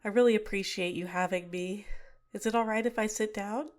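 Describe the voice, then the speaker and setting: A woman's voice: mid-range voice, steady tone, fairly quick, close to an everyday voice. A woman playing a scheming young villainess. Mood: shy, apologetic. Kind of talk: ASMR roleplay.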